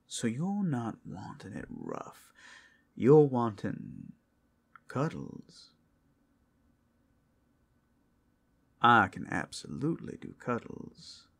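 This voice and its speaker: ASMR roleplay werewolf man performing: flirtatious predator, slow teasing drawl, raspy low register. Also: exaggerated voice. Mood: flirtatious, soothing. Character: suave, predatory male werewolf companion at a fantasy brothel.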